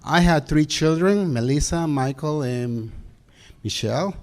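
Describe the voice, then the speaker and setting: A middle-aged man from Peru, giving a hesitant campaign speech full of pauses and fillers at a community meeting. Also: on the high side, animated, a little mellow. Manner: hesitant, many fillers and restarts. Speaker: council candidate. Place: community hall.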